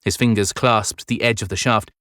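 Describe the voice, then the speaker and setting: A man's voice: on the low side, steady tone, normal speed, fairly bright tone, clean tone, dry recording. Dry, close male audiobook narrator. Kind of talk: dramatic scripted narration. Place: dry recording studio.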